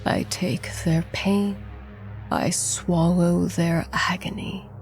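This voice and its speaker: A woman voicing a calm, ancient male ghoul with a raspy whisper in a storybook horror tale. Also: on the low side, soft background music. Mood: calm menace. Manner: slow, calm, breathy whispering.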